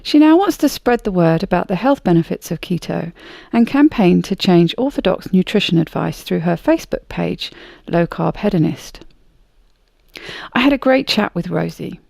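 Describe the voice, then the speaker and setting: An upbeat, friendly woman hosts a podcast, reading her intro and chatting easily. Her voice has a gravelly fry at its edges. The sound is dry and close, with no room around it. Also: lowish voice, lively intonation, moderate pace, darkish tone, no echo. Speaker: podcast host. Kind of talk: scripted intro, then casual interview chat.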